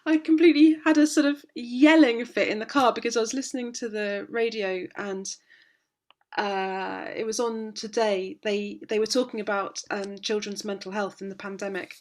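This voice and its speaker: A woman with a slightly hoarse voice, a guest on a video call speaking with indignant passion about parenting. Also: medium pitch, steady tone, moderate pace, darkish tone. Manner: impassioned, indignant, with many restarts. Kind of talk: conversational interview, passionate advocacy.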